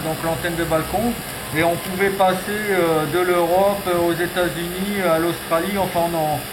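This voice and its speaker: A man chatting as a radio operator, sprinkling his talk with fillers. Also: sits high. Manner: chatty, says "hein" often. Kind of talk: radio contact exchange. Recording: echoey, noisy radio audio.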